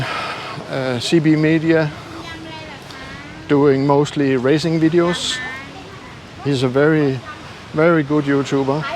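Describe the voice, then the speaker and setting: Older male travel vlogger, non-native English accent, slightly high voice, unhurried halting delivery, casual and modest, recorded outside. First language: not English. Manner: halting, self-deprecating. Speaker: travel YouTuber. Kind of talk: casual vlog talk to camera. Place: outdoors. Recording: noisy outdoor street ambience with traffic.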